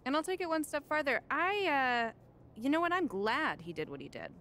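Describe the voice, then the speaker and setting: A woman's voice: high voice, big pitch swings, normal speed, sharp tone, slight background noise, exaggerated voice. An actress playing a blunt, calculating female adviser who argues emphatically and condescendingly, in a naturalistic video game cutscene style. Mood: pushy, provocative.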